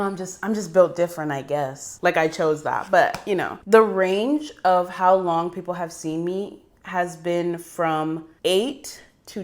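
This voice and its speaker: Young American woman chatting, slightly low voice. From New York City, United States. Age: young adult. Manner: rambling, chatty, slangy. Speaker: vlogger. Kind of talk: casual personal storytelling.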